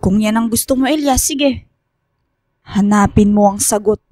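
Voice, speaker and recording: Woman acting secretive female professor, Filipino accent, stern, cryptic, deliberate, mid-pitched, dry studio sound, radio horror drama. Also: warm tone, dry recording, natural voice. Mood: grave, cryptic.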